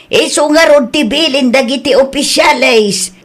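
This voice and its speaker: A woman's voice: high voice, unhurried. A woman playing a worried middle-aged village wife and mother who nags and pleads with her stubborn husband. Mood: scolding. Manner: nagging, pleading, scolding. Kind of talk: audio drama acting. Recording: rain and ambience noise behind. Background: steady rain.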